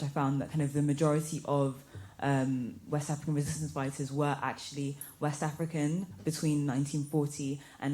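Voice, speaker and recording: Low, mellow young woman, evenly pitched. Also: poor audio. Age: young adult. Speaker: student panelist. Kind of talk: informal answer on a conference panel.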